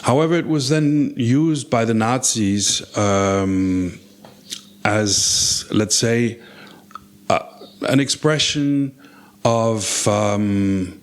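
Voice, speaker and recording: A man in his sixties who grew up in West Berlin, speaking as an interview guest in a measured way with frequent hesitations. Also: lowish voice. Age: 60s. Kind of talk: conversational interview. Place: Thoiry, near Geneva.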